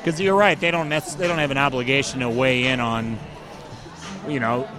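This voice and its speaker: Hoarse enthusiastic man, middle pitch. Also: moderate pace, crowd noise. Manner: animated, enthusiastic storytelling. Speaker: guest. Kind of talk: casual interview.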